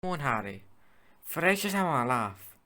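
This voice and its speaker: A man's voice: fairly high pitch, sing-song, unhurried, bright, forceful tone, slight background noise, full character voice. A man playing a wry, sceptical teenage schoolboy sidekick in an audio drama, speaking casually. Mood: offhand, reassuring.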